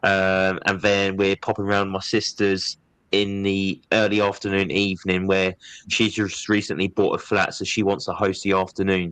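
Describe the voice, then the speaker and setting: Low-voiced man with a cold. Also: clean tone, dry recording. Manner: chatty, relaxed, says "mate" often. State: bunged up with a cold. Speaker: podcast co-host. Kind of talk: casual chat.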